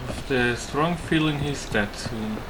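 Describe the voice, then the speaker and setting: A man's voice: medium pitch, measured pace, very noisy. A man giving casual gameplay commentary, muttering short reactions as he plays. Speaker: gamer, let's play host.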